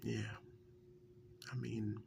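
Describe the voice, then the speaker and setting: A man playing a wry, affectionate partner reminiscing in a soft-spoken, intimate ASMR role-play, halting and musing, breathy and often slipping into a whisper. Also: fairly low pitch, little pitch movement, background noise, heavily acted. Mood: wry, reflective.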